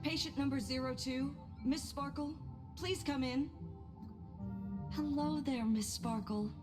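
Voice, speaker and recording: A woman voicing a teasing, smug female doctor in a video game, speaking in a playful, theatrical and deliberate way. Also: high-pitched, fairly even intonation. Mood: playfully welcoming. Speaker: game character voice actor. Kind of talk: video game character acting. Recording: background music under the voice.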